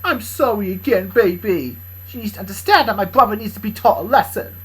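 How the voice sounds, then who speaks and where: A woman's voice: a little fast, breathy edge, rough recording. A woman voicing a defensive, resentful young woman who rambles and stumbles over her words. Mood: sulky. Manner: rambling, stumbling, high and strained. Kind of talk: improvised fan story voicing.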